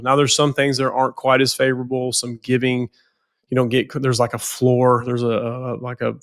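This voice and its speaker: A financial planner with a head cold, sounding nasal, talking casually on a podcast and often repeating words as he goes. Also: medium pitch.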